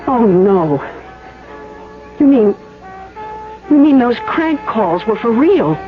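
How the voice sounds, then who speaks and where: A woman's voice: fairly high pitch, very animated, slow, over music. An actress playing an alarmed, incredulous woman in a radio drama, questioning what she hears. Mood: alarmed disbelief. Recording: muffled radio broadcast.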